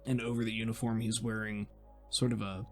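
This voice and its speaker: A man's voice: on the low side, flat delivery, a little fast, soft background music. A man working as game master, narrating a tabletop game in a descriptive, conversational way as he thinks aloud.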